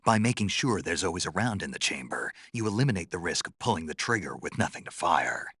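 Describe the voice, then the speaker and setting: A man playing a gruff, terse American soldier on a covert mission in a video game, with a low, gravelly, husky voice. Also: very animated, a bit bright, dry recording, heavily acted. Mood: matter-of-fact, instructive. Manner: terse, deliberate, dry. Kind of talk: dramatic game voice acting.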